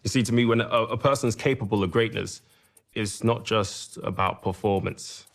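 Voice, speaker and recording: Racing team boss, low gravelly voice, measured, philosophical, passionate, game documentary interview. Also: male voice. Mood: reflective, passionate. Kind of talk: documentary-style interview acting.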